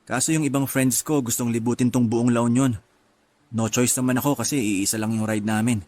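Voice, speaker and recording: An actor in a radio drama playing a soft-spoken, laid-back young male traveler who casually mixes English into his Tagalog. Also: mid-range voice, fairly even intonation, a little fast, natural voice. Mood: relaxed.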